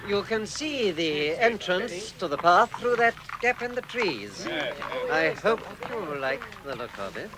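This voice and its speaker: Animated, commanding old wizard. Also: male voice, high voice, a crowd in the background. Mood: brisk, firm. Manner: theatrical, authoritative, deliberate. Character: commanding, kindly old wizard, brisk and wry. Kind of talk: vintage radio drama acting. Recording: vintage radio drama, busy outdoor sounds behind.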